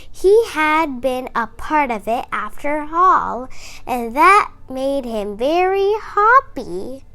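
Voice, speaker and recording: A woman reading a children's picture book aloud in a slow, expressive storytelling manner. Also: high voice.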